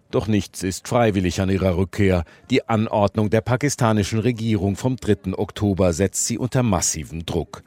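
A man's voice: fairly low pitch, darkish tone, no room sound. A man reads a scripted radio news report as the reporter. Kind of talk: scripted news report narration with translated quotes read over.

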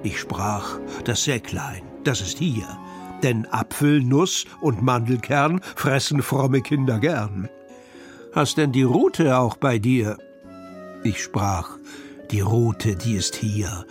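Male poetry reader, slow-paced expressive recitation for children, music underneath. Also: medium pitch.

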